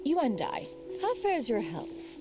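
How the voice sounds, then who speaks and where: A woman's voice: on the high side, huge pitch swings, slow pace, full character voice. An actress playing a poised immortal woman in a video game, aloof yet caring, with stately, formal, archaic phrasing. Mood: formal concern. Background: music.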